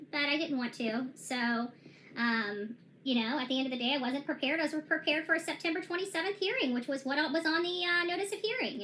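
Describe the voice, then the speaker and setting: A woman with a high, bright, sharp-edged voice talks through her own lawsuit in a casual vlog monologue. She rambles and stresses her points, often stopping and restarting her sentences. A faint hiss sits under her voice. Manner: rambling and emphatic, with frequent restarts. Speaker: self-represented plaintiff giving a case update.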